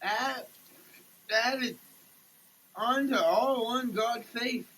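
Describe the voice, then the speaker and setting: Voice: mid-range voice, drawn-out words, fairly bright tone, low-quality recording. A person giving a theatrical, declamatory dramatic reading of printed text. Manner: theatrical, declamatory, with muttered asides. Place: shower.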